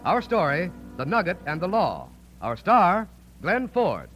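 High, animated old-time radio announcer, male. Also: measured pace, muffled recording, loud music behind the voice. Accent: American. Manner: formal, declamatory.

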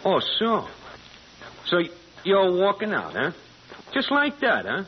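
Blunt, animated radio gangster boss. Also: male voice, high-pitched, a little slow, exaggerated voice. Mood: wary. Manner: blunt, slangy tough-guy talk. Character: tough, suspicious male gang boss and crook. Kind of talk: 1930s-40s radio drama acting. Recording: dull, lightly noisy old radio recording.

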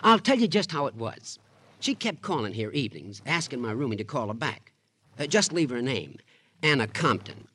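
Arch gossipy american fella in old radio drama, husky, teasing, voice all over the place. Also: male voice, medium pitch, very animated, normal speed, bright, forceful tone, full character voice. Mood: sly, amused. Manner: mannered, teasing, sly. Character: arch, nosy, gossipy man relishing a scandal.

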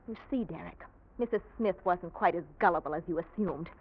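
A woman's voice: fairly low pitch, a little fast, slight background noise, lightly acted. Actress playing a cool, defiant woman, vintage radio. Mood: scornful, defiant. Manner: cool, pointed, mocking. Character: cool, proud, defiant young woman confronting a suitor. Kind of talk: 1940s radio drama acting. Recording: dull vintage radio recording.

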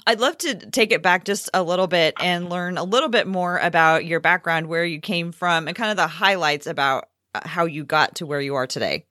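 A woman's voice: lowish voice, brisk, pushed and bright, no echo, studio quality. A woman co-hosting a podcast and asking questions in a conversational style. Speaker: podcast co-host, interviewer.

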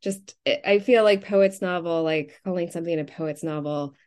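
A woman speaking as an interviewed novelist, reflecting casually and circling haltingly around her thoughts. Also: on the low side, darkish tone, smooth.

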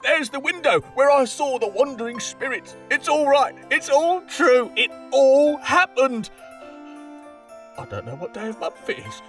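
Giddy, high-pitched old miser reborn. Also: male voice, sing-song, measured pace, fairly bright tone, recorded from a distance, soft background music, heavily acted. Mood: giddy joy. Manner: exuberant, breathless, exclamatory. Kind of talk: audio drama acting.

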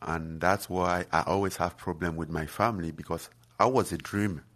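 Deep voiced cameroonian guy, lots of uh and like, earnest chat. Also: a bit bright. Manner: halting, many fillers and restarts, earnest. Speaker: guest fighter. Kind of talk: casual interview chat.

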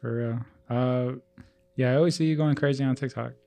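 A man in his twenties talks as a podcast co-host. His pitch is average for a man, and he speaks in an informal, conversational way. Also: steady tone, brisk, vocal fry, dark tone, a little hiss or hum. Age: 20s. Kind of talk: casual chat.

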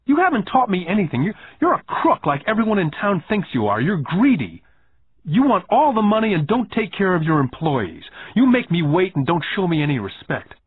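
A man voicing an indignant nine-year-old boy who confronts his boss, high, pleading and whiny. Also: sing-song, fairly quick, slightly rough, no echo, heavily acted. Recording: tinny phone-like band.

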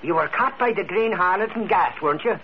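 An actor playing an excitable, cocky newspaper reporter full of folksy bluster in a 1940s radio drama. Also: male voice, sits high, fairly quick. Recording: muffled, noisy old radio recording.

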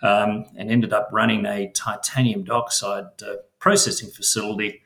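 A man's voice: fairly low pitch, level tone, moderate pace, vocal fry, fairly bright tone, reverberant. A middle-aged Australian man with an Australian accent, answering interview questions as a mining company chief, hesitant and full of fillers and restarts.

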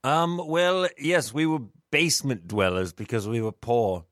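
A man's voice: medium pitch, steady tone, unhurried, fairly bright tone, no room sound, clean studio sound, natural voice. Man playing pompous posh British academic. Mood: earnest, matter-of-fact. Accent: posh British, put on. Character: pompous male twentieth-century sexologist and academic. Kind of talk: improvised comedy radio interview in character.

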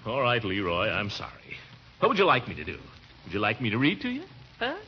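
An actor playing a harried, grumbling middle-aged American uncle in theatrical, exasperated 1940s radio comedy style. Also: male voice, lowish voice, wildly swooping pitch, a little fast. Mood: weary grumbling. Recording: dull, noisy old radio recording.

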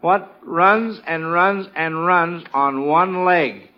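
A man's voice: on the high side, slow, highs cut off, a little hiss or hum. An American man hosting a 1940s radio quiz show in a folksy, exclamatory manner, on an old recording.

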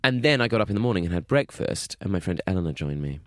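A man's voice: low-pitched, lively intonation, quick pace, dry recording, clean studio sound. A young man co-hosting a podcast, telling stories in casual chat, with camp, chatty put-on quotes. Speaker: podcast co-host.

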